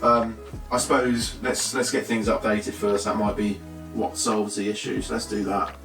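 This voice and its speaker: A man's voice: on the low side, little pitch movement, normal speed, vocal fry, fairly bright tone. A British man giving a casual, unscripted product review, thinking aloud in short, hesitant fragments. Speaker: presenter of a tech product review. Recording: roomy echo, distant mic, faint music.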